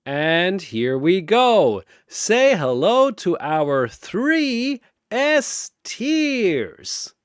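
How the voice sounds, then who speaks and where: A man's voice: sits high, big pitch swings, extremely slow, hardly any pauses, clean tone, no room sound, clean studio sound. A man delivering dramatic scripted battle narration like an arena announcer, theatrical and grandiose.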